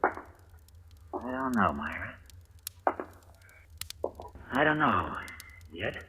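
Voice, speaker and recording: An actor playing a bitter young husband trapped caring for a rich uncle, tense and brooding, flaring into anger, in an old radio drama. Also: male voice, on the low side, very animated, extremely slow, exaggerated voice. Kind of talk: 1940s radio acting. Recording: old radio broadcast with faint hiss.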